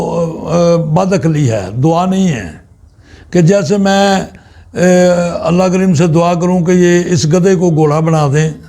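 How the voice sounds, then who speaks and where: A man preaches informally at a very unhurried pace. His voice sits a little high and has some creak in it, with a mellow tone. He speaks deliberately, stopping often to find the next phrase. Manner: halting, deliberate, with long pauses mid-sentence. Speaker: Islamic spiritual speaker. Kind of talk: informal religious sermon, unscripted.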